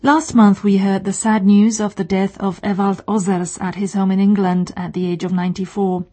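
A woman hosting a radio magazine show, reading her introductions in a measured, clear announcing manner. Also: mid-range voice, little pitch movement, mellow. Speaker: radio host. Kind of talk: scripted radio presentation.

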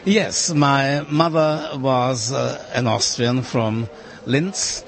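Old man in his 80s, native german speaker, slow and thoughtful, pauses to think. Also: medium pitch, fairly even intonation, creaky. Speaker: interviewee. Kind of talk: recorded interview. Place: café.

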